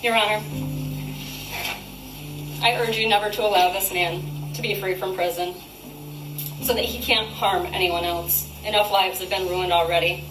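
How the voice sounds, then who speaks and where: A woman's voice: medium pitch, steady tone, normal speed, pauses often. A woman reading a prepared victim impact statement in a courtroom, formal and accusatory. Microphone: distant microphone. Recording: roomy, noisy, distant courtroom audio.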